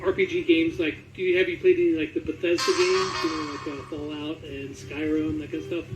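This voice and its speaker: High-voiced man interviewing from across an echoey room. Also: level tone, fairly quick. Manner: informal, jokey, chatty. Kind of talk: casual interview chat. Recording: echoey, distant, dull sound with music.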